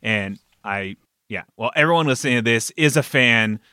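A man speaking as a podcast guest in casual conversation, with emphatic, halting phrasing. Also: mid-range voice, lively intonation, pushed and bright, clear, resonant tone, dry recording, clean studio sound. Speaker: podcast guest, fiction podcast producer.

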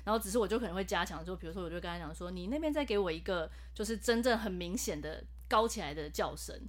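A woman's voice: on the low side, lively intonation, fast. A woman who directs voice actors, explaining her work in a casual podcast chat with a Taiwanese Mandarin accent. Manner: explicit, playful, laughs readily.

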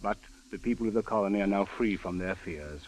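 An actor playing a solemn, courteous Egyptian police official in a 1940s radio drama, speaking in a formal, grave, measured manner. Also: male voice, on the low side, a little mellow, natural voice. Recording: muffled old radio broadcast recording.

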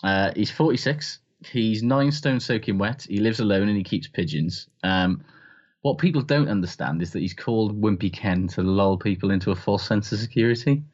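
A man from Wales with a low-pitched voice co-hosts a casual comedy chat. He talks in a hedging, conversational way and is readily amused, on a slightly dull recording. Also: moderate pace, a little mellow. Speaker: podcast co-host.

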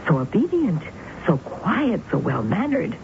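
A deranged devil-fearing sister. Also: female voice, fairly low pitch, very animated, a little slow, creaky, exaggerated voice. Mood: obsessive. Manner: intense, obsessive, coldly insistent. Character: unhinged woman convinced a dog is the devil. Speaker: narrator and lead character. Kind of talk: 1970s radio drama acting. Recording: tinny lo-fi old radio broadcast, hiss.

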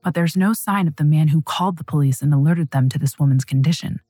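A woman's voice: low voice, fast, warm tone, faint music behind. A woman hosting a true crime podcast, reading a scripted, dramatic story.

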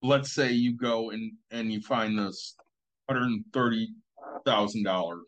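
A man's voice: on the low side, steady tone, a little slow, lo-fi sound. A man in casual conversation who pushes back skeptically and raises cautionary points, with some swearing. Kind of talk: casual podcast chat.

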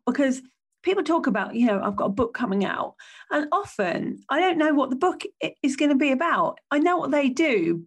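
A woman hosting her own business podcast, with a slightly croaky voice and a chatty, self-correcting way of talking. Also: fairly high pitch. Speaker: podcast host.

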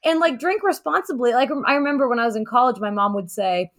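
A woman's voice: a little high, animated, quick pace, fairly bright tone. Middle-aged woman chatting as a host. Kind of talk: casual conversational interview.

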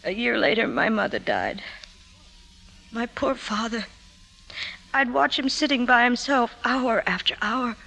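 An actress playing a troubled woman confessing painful memories in an emotive, halting way, in 1950s radio drama style. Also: a little high, animated, gravelly, pushed and bright, full character voice, low-quality recording. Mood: hurt, remembering. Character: troubled career woman confiding painful childhood memories to her doctor. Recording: 1950s radio broadcast, music behind.

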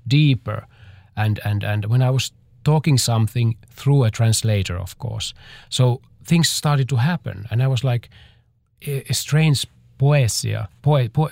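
A man, a choreographer answering interview questions in a halting way as he searches for words. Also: medium pitch, gravelly, a little mellow, no room sound, studio quality. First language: Finnish.

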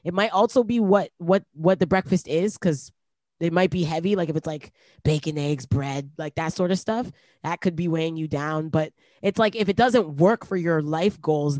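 A woman's voice: deep voice, normal speed, a little mellow, dry recording. A woman in her late twenties chats casually as an interview guest. She is reflective and loose, leaning on "like" as she thinks aloud. Her voice sits close and dry. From Canada. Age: late 20s. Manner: reflective, lots of "like" fillers, laughs easily. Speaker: rapper guest.

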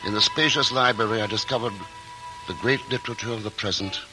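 An actor playing a composed male traveler who narrates his journey in a 1940s radio drama, measured and reflective. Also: lowish voice, natural voice, poor audio. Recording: old radio broadcast with music.

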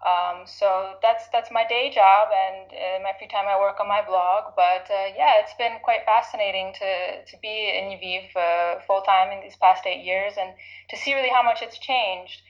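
A woman in her thirties from Chicago, talking reflectively in a casual interview, often pausing with fillers and restarting. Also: mid-range voice, steady tone. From Chicago, United States. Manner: reflective, thinks aloud with frequent fillers and restarts. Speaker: interview guest. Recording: slightly dull lo-fi audio.

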